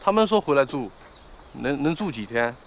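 A man's voice: medium pitch, lively intonation, normal speed, a little hiss or hum, close to an everyday voice. An actor playing a younger male house buyer in a film, casual, matter-of-fact and reluctant to pay. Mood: grudging.

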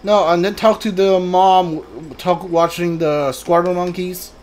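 A man giving casual video game commentary, thinking aloud in a halting way and reading bits out as he goes. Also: high-pitched, steady tone. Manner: halting, mutters to himself, reads guide and subtitles aloud.

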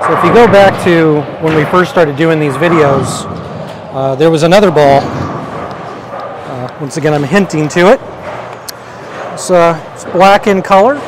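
Man, middle-aged, slightly high-pitched voice, casual reviewer commentary, clear background noise of a bowling alley. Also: unhurried, pauses often. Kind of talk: casual product review commentary while demonstrating. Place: large indoor bowling alley. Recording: noisy bowling alley ambience.

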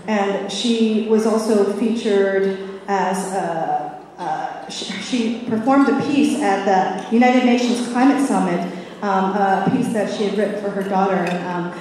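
A woman's voice: medium pitch, a little mellow, slight background noise. Echoey woman moderating from a podium. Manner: hesitant, many fillers. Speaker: event moderator. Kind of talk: live panel introduction and moderation. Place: large auditorium stage. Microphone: stand mic at podium, far camera. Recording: echoey hall from far off.

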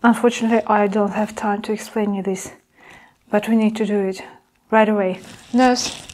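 A young woman playing a sinister nurse-doctor in an ASMR roleplay, speaking softly and reassuringly in measured phrases, often whispering. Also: mid-range voice, steady tone, natural voice, poor audio. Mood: grave, reassuring. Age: young adult. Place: small indoor room with curtain backdrop.

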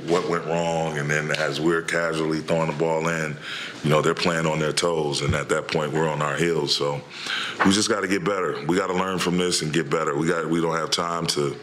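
A middle-aged man with a very low, flat voice answers reporters after a game, starting and restarting his sentences as he searches for words. He speaks into a table microphone in a press room, with a faint hiss of ambience behind him. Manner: halting, many restarts and fillers. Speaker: head coach. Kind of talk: postgame press conference answers. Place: press room in front of a logo backdrop.

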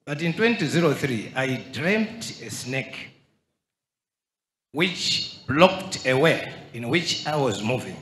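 A middle-aged man from Zambia, speaking into a handheld microphone and slowly and earnestly telling his story in a church. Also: mid-range voice, very animated, a bit bright, roomy sound, a little hiss or hum. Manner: earnest, slow testimony. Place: large church hall with crowd. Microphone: handheld mic.

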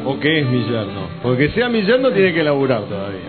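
A man's voice: medium pitch, big pitch swings, quick pace, narrow, tinny audio, over loud music. A man with a Rioplatense Spanish accent, a guest on a TV show speaking as a contestant's father, wry and dry in humor. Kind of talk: joking on-air chat.

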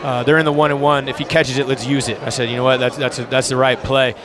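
A man's voice: medium pitch, little pitch movement, fast. A young man, a basketball coach, talking informally and earnestly in a post-game interview on a headset mic. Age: young adult. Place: courtside in an indoor arena. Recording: crowd noise in busy arena.

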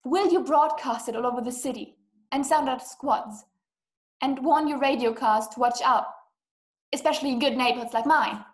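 A woman's voice: high voice, moderate pace, natural voice. A young woman playing an anxious, haughty, bedridden wife in a drama, agitated and imperious. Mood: insistent. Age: young adult. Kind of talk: radio-play acting over video call. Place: small room indoors. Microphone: webcam video call.

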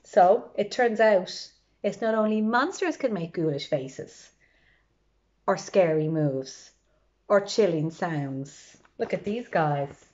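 Lady doing kids storytime, slow and really animated, bit muffled recording. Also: medium pitch. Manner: expressive, chatty asides to young listeners. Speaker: librarian reading a children's storytime. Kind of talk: animated children's read-aloud.

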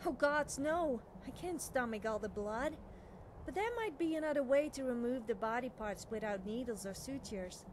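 A woman's voice: a little high, animated, moderate pace, a little hiss or hum, natural voice. A young woman playing an eager, nervous, cheerfully amoral witch student in video game dialogue, chatty and girlish, often hesitating and backtracking. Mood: squeamish.

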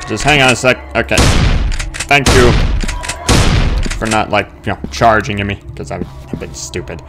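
A man's voice: on the low side, lively intonation, normal speed, very noisy. A man giving casual, rambling gameplay commentary, muttering asides to himself. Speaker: gamer hosting a playthrough. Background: game music.

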